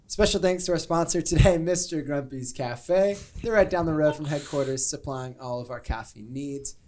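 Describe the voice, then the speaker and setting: A man's voice: fairly high pitch, normal speed, a little mellow, slight background noise. A man hosting a ministry show, chatting casually and informally with jokes as he interviews a guest. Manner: jokey, informal.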